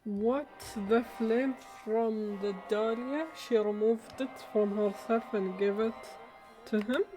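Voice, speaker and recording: A woman's voice: fairly high pitch, fairly even intonation, slow, over music, rough recording. A woman livestreamer giving casual spoken reactions as she plays a video game.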